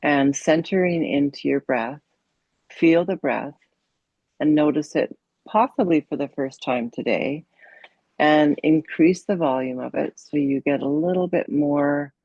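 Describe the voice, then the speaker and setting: Slow, gravelly woman guiding meditation. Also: sits low, no echo, highs cut off. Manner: soothing, unhurried, gently instructive with long pauses. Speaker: yoga and meditation coach leading a guided meditation. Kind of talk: guided meditation.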